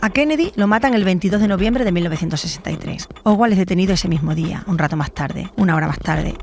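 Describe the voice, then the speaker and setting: A woman's voice: on the low side, expressive, quick pace, darkish tone, slight background noise. A woman narrating a scripted true crime documentary as a podcast host.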